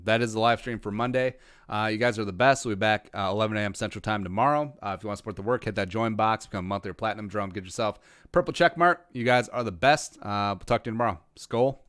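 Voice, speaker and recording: Man, rural Minnesota origin, lowish voice, dry recording, livestream host, rambling casual Q&A. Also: fast, a bit bright, clean tone, no room sound. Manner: rambling, restarts often, dry self-aware humor. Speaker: podcast host answering live chat.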